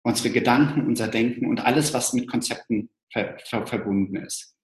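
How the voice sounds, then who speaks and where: A man's voice: mid-range voice, fairly even intonation, normal speed. A man explaining ideas thoughtfully, with some restarts. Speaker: co-presenter. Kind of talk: explanatory talk to an online audience. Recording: roomy echo.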